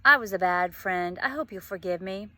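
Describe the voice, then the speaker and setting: Schoolgirl friend, played by a female reader: bright, light, conversational, deflecting, storybook dialogue. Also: medium pitch, normal speed. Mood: apologetic. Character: schoolgirl friend, apologetic, evasive, brushing off worry.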